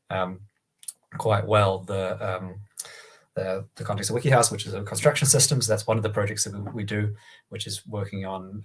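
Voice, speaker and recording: A man with a cold, sounding croaky, giving a hesitant online presentation full of ums and restarts over a video call. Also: low voice.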